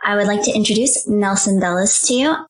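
A woman's voice: medium pitch, brisk. A woman hosting an interview show, introducing her guests in a polished, presenter-like way. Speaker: show host. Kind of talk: formal introductions and questions.

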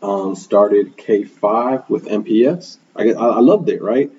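A young man from Milwaukee whose first language is Spanish answers interview questions in an earnest, reflective way, often pausing on "um" and checking in with "right?". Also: lowish voice, darkish tone, muffled recording, slight background noise. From Milwaukee, Wisconsin, USA. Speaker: guest, school program manager.

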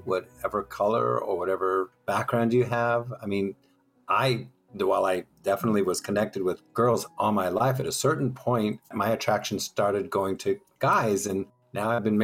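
A middle-aged man with a fairly low voice shares personal reflections in conversation. He sounds candid and encouraging, with music playing behind him. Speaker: discussion participant.

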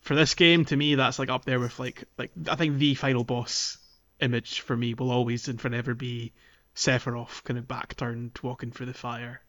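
A man's voice: mid-range voice, little pitch movement, normal speed, few pauses, a bit bright, lo-fi sound. A man hosting a casual gaming podcast, talking in an enthusiastic, geeky way. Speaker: podcast host. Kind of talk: casual game discussion podcast.

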